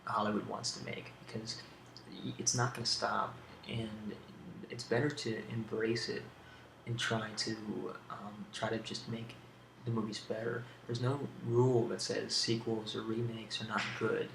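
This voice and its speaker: A man speaking in a casual ASMR question-and-answer style, rambling hesitantly in a low-key way. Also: little pitch movement, measured pace, fairly bright tone. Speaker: video creator answering tag questions. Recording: roomy echo, clear background noise.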